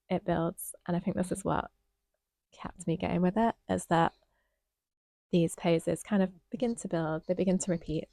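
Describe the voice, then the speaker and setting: A woman with a lowish, gravelly voice talks through a performance she saw, unhurried and reflective, with plenty of ums. The sound is dry and close, with no room around her. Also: a little mellow, dry recording. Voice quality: slightly croaky. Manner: thoughtful, hesitant with frequent ums. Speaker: dance reviewer co-host. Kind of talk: casual conversational review.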